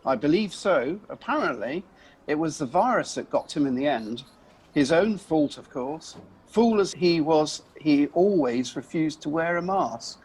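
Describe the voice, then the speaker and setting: Guy as gossipy dismissive fella in a radio play, husky highish voice. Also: expressive, unhurried, a little mellow, poor audio. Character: gossiping, disdainful acquaintance of a dead miser. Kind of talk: amateur radio play acting. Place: at home, recorded over video call.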